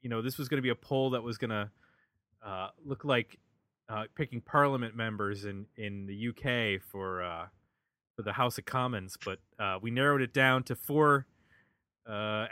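Bright-voiced American man hosting a podcast. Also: medium pitch, no echo. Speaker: podcast host. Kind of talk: casual music podcast talk.

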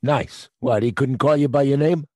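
A man playing a gruff New night watchman. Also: medium pitch, normal speed, dark tone, dry recording, studio quality, natural voice. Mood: wry. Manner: gruff, blunt, working-class New York speech. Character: gruff middle-aged male theater night watchman. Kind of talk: audio drama acting.

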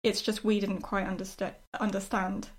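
A woman talking casually and reflectively as a writer discussing her work, often halting as she thinks aloud. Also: mid-range voice, little pitch movement. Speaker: writer discussing her own storytelling project.

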